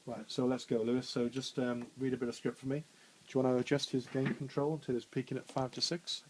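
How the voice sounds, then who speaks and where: A man with a bassy voice, chatting informally through a radio studio training session with frequent fillers and restarts. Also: flat delivery, quick pace, a little mellow, slight background noise, rough recording. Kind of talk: hands-on technical demonstration.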